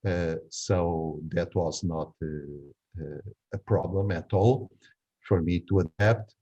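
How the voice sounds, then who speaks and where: A man in his sixties from Angola, a native Portuguese speaker with a Portuguese accent, recounts his life conversationally in a hesitant, measured way, searching for words. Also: low-pitched, mellow, dull audio. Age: 60s. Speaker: interviewee. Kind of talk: reflective conversational storytelling.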